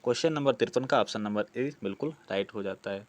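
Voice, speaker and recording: A man's voice: lowish voice, fast talker. A man teaching a grammar lesson, explaining practice questions step by step, asking questions and answering them himself. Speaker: Hindi grammar teacher for exam preparation.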